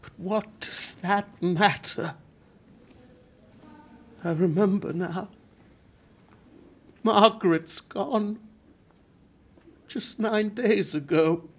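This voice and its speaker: A man acting a dying playwright in a radio play, grieving and wry, speaking haltingly with breathy murmurs. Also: sits high, full character voice. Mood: resigned, then grieving, confused.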